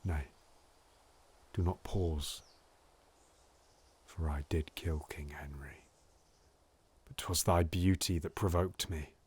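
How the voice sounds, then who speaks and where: A man playing a scheming, silver-tongued nobleman in a Shakespearean audio drama, theatrical and ranging between hushed pleading and loud exultation. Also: rumbling low, steady tone, takes it slowly, long pauses, breathy edge, heavily acted. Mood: ardent pleading.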